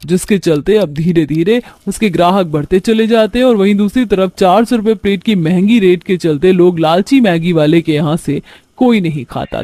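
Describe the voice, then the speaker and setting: A man's voice: high-pitched, warm tone, dry recording. A man reading scripted narration for a moral story, in the role of storyteller.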